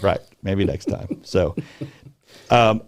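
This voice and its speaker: A man's voice: low voice, extremely slow. A middle-aged man hosting a podcast, chatting in a playful, rambling way with restarts. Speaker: podcast host. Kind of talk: casual joking podcast chat.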